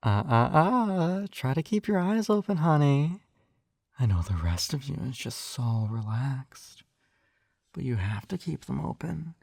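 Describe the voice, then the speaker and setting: A man playing a possessive, smugly tender hypnotist captor in an intimate ASMR roleplay, coaxing and teasing in a soft hushed voice that drifts into whispers. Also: medium pitch, lively intonation, unhurried, gravelly, no room sound, clean studio sound. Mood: coaxing, sweet.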